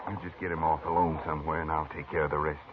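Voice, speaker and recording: An actor playing a scheming, crooked frontier card dealer in a 1950s radio western, coaxing and menacing by turns. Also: male voice, very deep voice, little pitch movement, racing. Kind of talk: 1950s radio drama acting. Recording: tinny, noisy old radio transcription.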